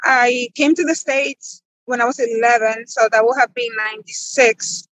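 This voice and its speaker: High-voiced woman in casual interview. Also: fairly even intonation, moderate pace, fairly bright tone, poor audio. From Dominican Republic. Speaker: guest, pig farmer. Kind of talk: conversational interview answers.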